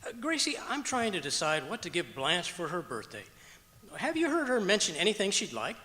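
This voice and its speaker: A man's voice: high voice, wildly swooping pitch, fairly quick, pushed and bright, a little hiss or hum, full character voice. A man playing a fussy, indecisive, earnest neighbor husband in old-time radio comedy style. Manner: dithering, earnest. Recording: echoey auditorium stage performance.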